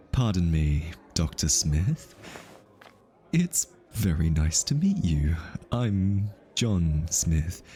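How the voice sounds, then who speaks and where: A man plays a hitman undercover among high society in an ASMR roleplay. His voice is low and he takes his time over his words. He is pretentious and archly courteous, teasing with polished politeness while being easily flustered underneath. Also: dark tone, exaggerated voice. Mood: smoothly polite. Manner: arch, teasing, theatrically polite with halting hesitations. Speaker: voice actor.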